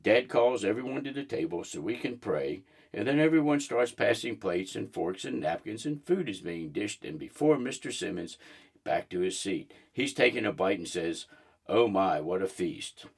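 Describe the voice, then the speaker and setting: A man reading a children's book aloud in an expressive storybook narration style. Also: on the low side, moderate pace, gravelly, husky.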